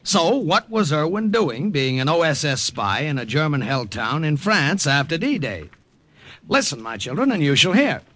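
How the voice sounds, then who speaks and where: A breezy young army sergeant. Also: male voice, on the high side, sing-song, moderate pace, a bit bright, slight background noise. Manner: breezy, jokey storyteller. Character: young male army sergeant and cartoonist, wisecracking narrator. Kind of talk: 1940s radio acting. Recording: old radio broadcast with music behind.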